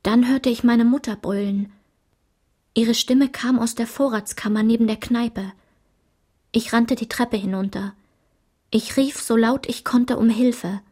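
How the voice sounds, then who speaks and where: A sombre woman looking back on her childhood in a literary radio play. Her voice is fairly high and husky, measured and restrained, sometimes sinking toward a whisper. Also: fairly even intonation, warm tone. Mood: tense, insistent. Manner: measured, at times dropping to a whisper.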